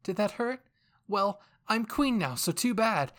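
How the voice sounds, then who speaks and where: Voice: medium pitch, lively intonation, a little slow, a bit bright, pitched above the performer's own voice. A narrator voicing a bold, defiant young queen with a cocky, challenging manner in a fan fiction reading.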